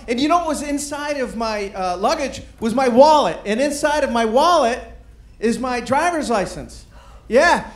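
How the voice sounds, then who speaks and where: A middle-aged man doing a stand-up comedy set on a club stage, deadpan and self-deprecating, with rambling asides. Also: extremely high pitch. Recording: roomy live club with crowd noise. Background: audience murmur and laughter.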